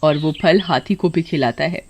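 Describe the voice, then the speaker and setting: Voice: mid-range voice, normal speed, background noise. A narrator reading a scripted children's story in a dramatic storytelling manner.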